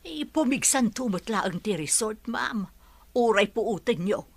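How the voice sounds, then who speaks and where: A woman's voice: fairly high pitch, lively intonation, a little slow, vocal fry, full character voice. An actress playing a concerned woman helper who comforts her employer in a radio drama. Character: concerned woman helper named Nana Helen.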